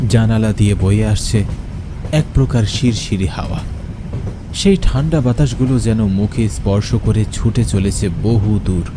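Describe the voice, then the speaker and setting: A man giving a theatrical first-person narration of a horror story. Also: lowish voice. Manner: expressive, theatrical. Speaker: narrator and lead character. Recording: loud train noise behind.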